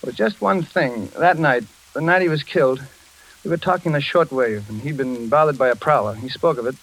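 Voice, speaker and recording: An actor playing a grim, resolute engineer with a blunt, decisive manner in 1940s radio style. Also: male voice, medium pitch, brisk, lightly acted, low-quality recording. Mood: grim, urgent. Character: grim, resolute male engineer bent on solving murder.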